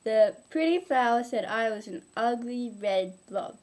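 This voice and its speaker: A woman's voice: on the high side, animated, a little slow, a little mellow. A young woman voicing a whiny, indignant little male blob in a homemade children's moral story, protesting childishly. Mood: whiny complaint.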